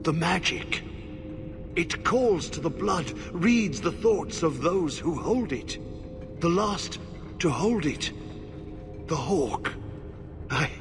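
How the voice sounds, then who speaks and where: An actor playing a half-mad, corrupted old warden in a video game, haunted and cryptic, speaking in halting fragments. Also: male voice, a little high, background noise. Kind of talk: video game cutscene acting.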